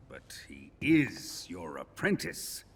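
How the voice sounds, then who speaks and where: An actor playing a sadistic, domineering military commander in a video game, with a menacing, theatrical and taunting delivery. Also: male voice, deep voice, wildly swooping pitch, takes it slowly, a little hiss or hum, full character voice. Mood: cold, cruel. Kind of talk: dramatic video game acting. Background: music.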